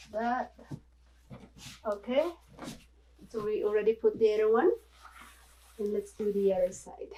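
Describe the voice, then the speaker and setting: A woman from the Philippines with a Filipino accent, chatting casually in a home video tutorial, halting and apologetic with many fillers. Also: mid-range voice, noisy background. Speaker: vlogger. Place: small home room.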